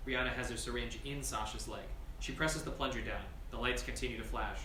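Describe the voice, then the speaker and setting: Man, scripted stage-direction reading, bright forceful tone, roomy sound, faint noise. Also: mid-range voice, brisk. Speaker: reader of scene directions. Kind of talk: scripted screenplay narration at a table read. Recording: distant, echoey room mic, faint noise.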